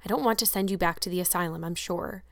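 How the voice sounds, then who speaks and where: A woman playing a brisk, stern, practical guardian in a storybook, scolding in a no-nonsense but kindly way. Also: on the low side, dry recording, clean studio sound, close to an everyday voice. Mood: vexed, reassuring. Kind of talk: audiobook character acting.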